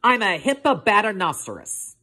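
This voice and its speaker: A woman's voice: medium pitch, very animated, moderate pace, bright, forceful tone, voice pushed up. A woman voicing an eager, stubborn young male hippo in a picture-book read-aloud, polite but determined.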